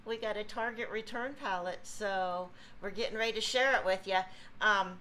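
A woman's voice: medium pitch, moderate pace, sharp tone, a little hiss or hum, low-quality recording. A woman in her fifties, chatty, explaining items as she unpacks a pallet at a home table. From Ohio, USA. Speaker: reseller vlogger. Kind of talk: casual unboxing chat. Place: home room with table, indoors.

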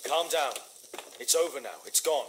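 An actor playing a composed Victorian master detective in a video game, formal, clipped and coolly inquisitive. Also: male voice, on the high side, moderate pace, heavy background noise, lightly acted. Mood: calm, assured. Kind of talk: video game dramatic acting.

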